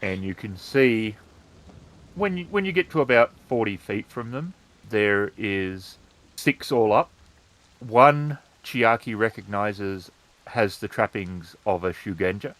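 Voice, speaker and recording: A man narrating a tabletop roleplaying game as game master, in a halting, measured way. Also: on the low side, lively intonation, a bit bright, rough recording.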